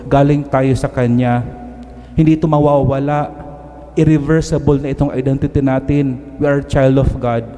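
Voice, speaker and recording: Filipino man, priest, emphatic homily, stand mic, long church reverb, warm tone. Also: medium pitch. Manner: earnest, emphatic, switches between languages. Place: large church. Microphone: stand mic at pulpit.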